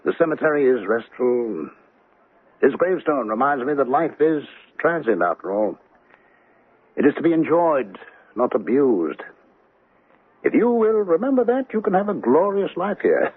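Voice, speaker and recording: A courtly, middle-aged bachelor banker in a radio play. His delivery is measured and formal yet very lively in pitch, with a slightly rough, husky tone. The sound is the thin, narrow band of an old radio broadcast. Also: dry recording. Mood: grave, cautioning. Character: genial, courtly fifty-seven-year-old bachelor banker settled in Honolulu. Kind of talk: radio drama acting.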